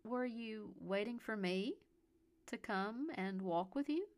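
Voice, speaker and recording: Narrator as young woman in a story, gentle n teasing, kinda creaky, takes her time. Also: medium pitch, expressive, slow. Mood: indulgent. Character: playful, kindly young woman indulging a drunk admirer.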